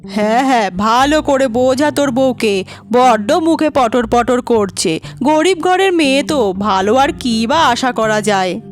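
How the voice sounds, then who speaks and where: A woman's voice: fairly high pitch, expressive, unhurried, soft background music. A woman voicing a scornful older village mother-in-law in a cartoon, nagging and taunting with sarcastic questions. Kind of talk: cartoon voice acting.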